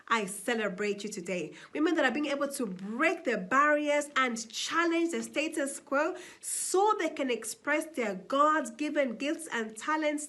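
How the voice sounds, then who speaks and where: Woman from Zambia, high pitch, motivational speaker, emphatic. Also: a bit bright. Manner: emphatic, rhetorical questions answered herself. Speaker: summit speaker. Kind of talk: motivational address.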